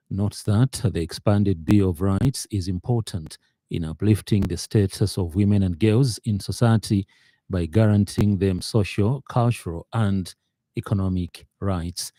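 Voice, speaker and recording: Dark-voiced Zambian man reading the news. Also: on the low side, measured pace, dry recording. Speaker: news reader. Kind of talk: formal radio news bulletin reading. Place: radio studio.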